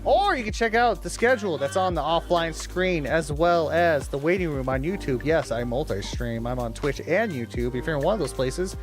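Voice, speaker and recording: A man's voice: on the high side, lively intonation, normal speed, hardly any pauses, music in the background. A man livestreamer talking casually to his chat, informal and teasing, with some swearing. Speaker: livestreamer hosting a watch-along.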